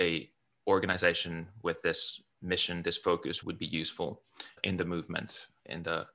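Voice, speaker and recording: A man's voice: deep voice, sharp tone. A middle-aged man from Finland, talking about his life as an interview guest, often restarting and repeating words. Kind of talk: casual interview talk.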